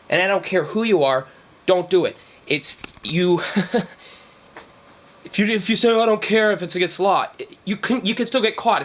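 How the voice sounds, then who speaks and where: A man's voice: fairly high pitch, fairly bright tone. A man giving a casual, unscripted, opinionated explanation in a blunt, emphatic, conversational way with some salty language. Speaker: vlog host, radio hobbyist.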